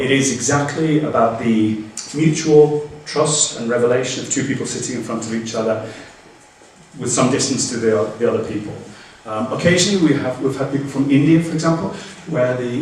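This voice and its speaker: A man's voice: mid-range voice, fairly quick. A male guest speaker fields questions from the audience, stopping and restarting his sentences often. He is picked up from a distance in a very echoey lecture room. A faint background hum runs under his voice. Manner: hesitant, many restarts and fillers. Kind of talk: Q&A after a lecture.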